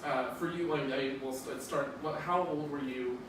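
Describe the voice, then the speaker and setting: A man's voice: mid-range voice, moderate pace. A man hosting a casual interview show, bubbly and giggly, tripping over names as he goes. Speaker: host. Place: large echoey space by a pool. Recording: echoey and distant with background noise.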